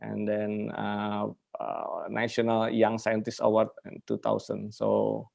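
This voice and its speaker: A man with a low, heavily gravelly voice introduces the guest at a university webinar over a video call. He speaks haltingly, with many fillers and restarts. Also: completely flat delivery, darkish tone. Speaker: webinar moderator, university host.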